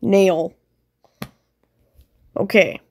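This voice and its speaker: A woman's voice: mid-range voice, extremely slow, vocal fry, husky. A woman with a stuffed-up nose casually thinks aloud over a puzzle game, a little self-deprecating. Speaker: player commenting on own gameplay.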